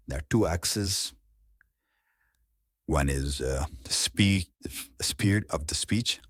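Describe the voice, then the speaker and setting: A man's voice: very deep voice, husky, dry recording, studio quality. A man speaking as a coach, giving an informal, explanatory lesson on public speaking.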